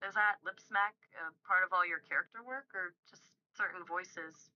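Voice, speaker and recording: A woman's synthetic voice replying in a polished, effusive and flattering way. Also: fairly low pitch, normal speed. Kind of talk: conversational reply. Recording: dull lo-fi audio.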